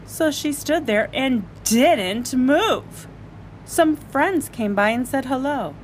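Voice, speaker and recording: A woman storyteller reading a children's story aloud in an animated, expressive way. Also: high voice, slow, a little hiss or hum.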